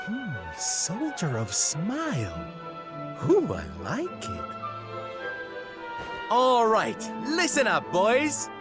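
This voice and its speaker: A male actor playing a flamboyant, warm and peppy troupe leader in a video game, camp, theatrical and exclamatory. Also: high voice, huge pitch swings, drawn-out words, pauses often, heavily acted. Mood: rousing, grand. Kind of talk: video game cutscene voice acting. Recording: background music under the voice. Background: orchestral game music.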